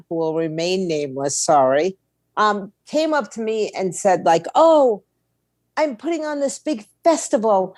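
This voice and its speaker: A woman's voice: medium pitch, darkish tone, no room sound. Candid woman interview guest, dry sound. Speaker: interview guest, indie label owner. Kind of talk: casual candid interview chat.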